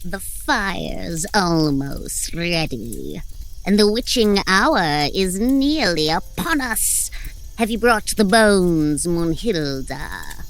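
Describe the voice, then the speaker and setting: A woman playing a solemn, easily exasperated coven leader with prim, deliberate delivery in a comic horror audio drama. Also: fairly low pitch, sing-song, heavily acted. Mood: solemn, commanding.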